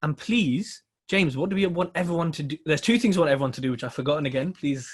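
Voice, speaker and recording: A man's voice: fairly high pitch, fast, breathy edge. A man hosting a podcast, chatting casually with plenty of fillers and restarts as he steers the conversation. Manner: rambling, filler-heavy, asks questions and runs the show. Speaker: podcast co-host.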